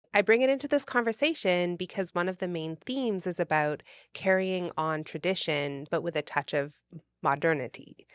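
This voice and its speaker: A woman's voice: sits low, clear, resonant tone, dry recording, studio quality. A woman hosting a conversational interview.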